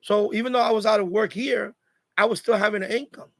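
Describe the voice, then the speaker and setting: A man in his fifties with a high voice that often breaks into gravelly creak. He chats casually like a live stream host, circling back over phrases to drive advice home. The sound is close and dry. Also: fairly even intonation, fast talker, a bit bright, no room sound. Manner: repeats phrases for emphasis, advice-giving. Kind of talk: casual live chat monologue.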